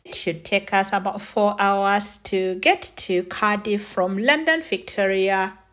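A middle-aged woman narrating a travel vlog in a measured, matter-of-fact way. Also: a bit bright. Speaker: travel vlogger. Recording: noisy, with vehicle and station rumble.

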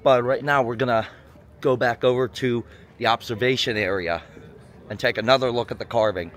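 A man's voice: medium pitch, fairly quick, fairly bright tone. A man talking casually to his viewers while filming outdoors on a hot mountaintop, with a folksy, chatty manner. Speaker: vlogger. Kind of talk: casual travel vlog to camera. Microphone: handheld phone or camera mic.

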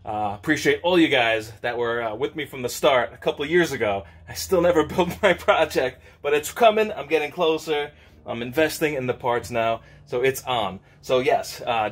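A man in his thirties hosting a casual car-parts vlog, upbeat and chatty, recorded in his home garage workshop on a camera held at arm's length. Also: medium pitch. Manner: excited, upbeat, chatty with laughs. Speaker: channel host. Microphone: handheld camera at arm's length.

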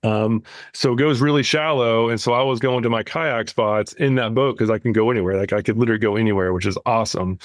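A man's voice: mid-range voice, quick pace, few pauses, dry recording. A man co-hosting a podcast, talking casually and anecdotally, often repeating words as he explains. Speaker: podcast co-host.

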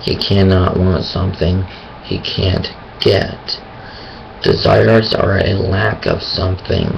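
Hoarse, unhurried man with a creaky voice, halting and self-correcting. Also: deep voice, steady tone, highs cut off, slight background noise. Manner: hesitant, thinking aloud with many pauses and restarts. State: raspy voice. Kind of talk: casual monologue on faith.

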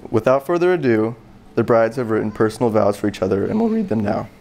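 A young man giving a heartfelt wedding officiant speech in a barn, sincere and measured. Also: fairly low pitch, big pitch swings, gravelly, darkish tone. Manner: sincere, measured, earnest delivery. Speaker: wedding officiant, brother of a bride. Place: large open barn venue, indoors.

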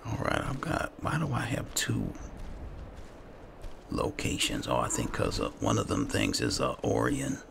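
Super deep gravelly guy muttering game commentary, pitch swings all over. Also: huge pitch swings, unhurried. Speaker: gaming channel host. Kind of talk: roleplay gameplay commentary. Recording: clear background game noise.

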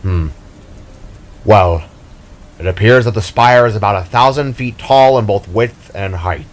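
Man as a measured, analytical spark technician. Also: lowish voice, natural voice. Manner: explanatory, measured. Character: large analytical spark, upbeat team technician. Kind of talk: dramatic story character reading.

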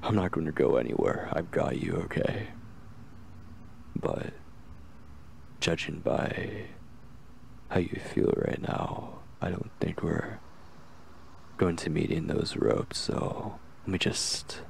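A young man playing an obsessive yet tender, anxious kidnapper in an intimate ASMR roleplay, speaking softly and hesitantly in a gravelly, reassuring voice. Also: low voice, animated, slight background noise, heavily acted. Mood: tender, earnest. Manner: hesitant, halting, gently reassuring.

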